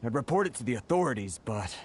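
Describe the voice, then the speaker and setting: A man's voice: mid-range voice, animated, quick pace, darkish tone, slight background noise, natural voice. A man voicing a flustered, aggrieved merchant in a video game, hesitant and exasperated. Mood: dejected, exasperated.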